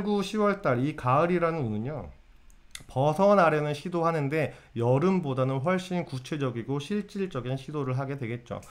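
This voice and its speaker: Lively man lecturing on fortune. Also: on the high side. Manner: lively, asks the audience questions and answers himself. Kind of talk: casual lecture to viewers.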